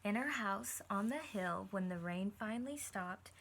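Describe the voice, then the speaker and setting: A woman's voice: medium pitch, lively intonation, unhurried, a bit bright, slight background noise. A woman reading a picture book aloud like a teacher, stopping to put questions directly to her young listeners. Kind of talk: animated read-aloud with asides to young listeners.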